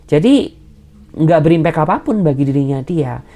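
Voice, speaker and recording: A woman giving a general tarot reading straight to the viewer, advising and reassuring, and tagging nearly every phrase with a soft "ya". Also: low-pitched, animated, normal speed. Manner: advising and reassuring in a direct, personal way. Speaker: tarot reader.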